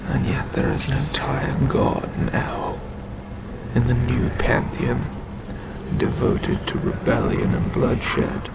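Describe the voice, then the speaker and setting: An ancient eldritch god, acted by a man, tells his tale in a creaky, breathy murmur that often sinks to a whisper. He speaks extremely slowly and deliberately, sinister and domineering, in a close-miked ASMR roleplay. A loud rumble of noise runs behind the voice. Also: flat delivery. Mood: darkly proud. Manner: slow, deliberate, menacing, long pauses. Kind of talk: ASMR roleplay, scripted storytelling.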